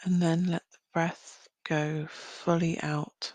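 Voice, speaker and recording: A woman leading a guided visualisation as a meditation guide, speaking gently in short phrases with long pauses. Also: low voice, flat delivery, no room sound. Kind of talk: guided visualisation, slow soothing narration.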